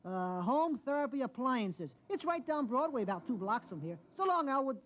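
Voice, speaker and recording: An actor playing a good-natured working-class bus driver, rambling and colloquial, in a 1950s radio drama. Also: male voice, extremely high pitch, very animated, normal speed, noisy background. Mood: cheerful. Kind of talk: 1950s science fiction radio acting. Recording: dull vintage radio recording.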